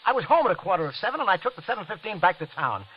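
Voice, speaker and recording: A man's voice: high voice, big pitch swings, rapid-fire, husky, full character voice. An American man acting a desperate accused husband in an old radio drama, agitated, stammering and pleading over his alibi. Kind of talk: 1940s-50s radio drama acting and narration. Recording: muffled vintage radio recording.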